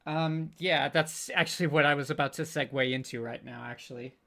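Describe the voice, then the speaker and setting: A host chatting casually on a live stream, halting and hesitant, often repeating words before getting to the point. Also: medium pitch, steady tone, creaky, a bit bright. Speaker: panel host.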